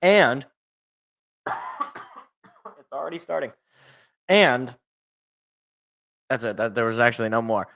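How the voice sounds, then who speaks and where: An American man with a slightly raspy, congested voice, hosting a casual solo sports talk show in a rambling, jokey way that repeats phrases for emphasis. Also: medium pitch, animated, takes it slowly, pauses often, a bit bright. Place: indoors at home.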